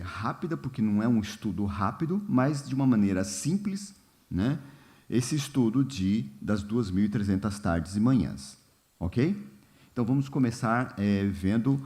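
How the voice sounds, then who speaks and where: A man leading a church Bible study in a relaxed, informal way, often pausing with fillers and asking the congregation questions. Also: lowish voice. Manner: unhurried, many fillers and "né?", asks the congregation questions. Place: church hall.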